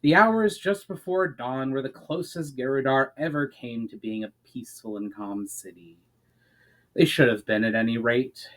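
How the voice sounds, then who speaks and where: A man's voice: medium pitch, moderate pace, fairly bright tone, low-quality recording. A man reading his own fantasy story aloud as a dramatic narration.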